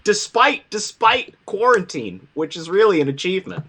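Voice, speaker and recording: A man who works as a voice actor, chatting casually with an animated, self-deprecating manner. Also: high voice, normal speed, slightly rough. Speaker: voice actor, guest on a panel. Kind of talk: casual chat, plugging projects.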